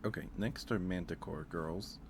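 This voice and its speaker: A soft-spoken man hosting a casual ASMR stream, chatting with viewers and musing aloud in a hesitant way. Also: deep voice, moderate pace, noisy background.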